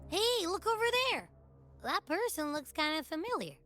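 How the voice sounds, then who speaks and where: A small, impish creature companion from a video game. The voice is high and piercingly bright, swinging widely in tone with unhurried, childlike playfulness. Music plays underneath. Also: female voice, big pitch swings, exaggerated voice. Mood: playful.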